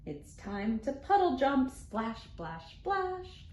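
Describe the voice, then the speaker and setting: A woman reading a picture book aloud to young children as a storytime host, in an animated, sing-song way with deliberate phrasing and playful sound words. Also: high-pitched. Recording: roomy echo, faint background noise.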